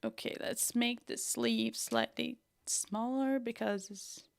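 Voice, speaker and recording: Brazilian woman w a bit of northern irish in her accent, slow chatty tutorial, kinda gravelly but smooth. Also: fairly high pitch, fairly even intonation. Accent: Brazilian with a Northern Irish mix. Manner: chatty, thinks aloud while working, mutters to herself. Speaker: 3D fashion design tutor. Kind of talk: livestream software tutorial.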